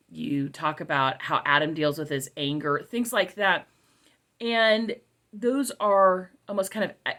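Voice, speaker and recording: A middle-aged woman with a slightly low-pitched voice talks casually, as a reviewer addressing viewers. She leans on key phrases and repeats them to stress her point. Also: a bit bright. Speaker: homeschool product reviewer.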